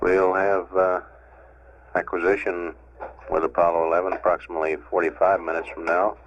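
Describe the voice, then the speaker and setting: Deep-voiced man on lo-fi radio. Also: flat delivery, normal speed, slight background noise. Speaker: mission control public affairs announcer. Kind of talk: live spaceflight status commentary. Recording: dull, lo-fi archival radio transmission.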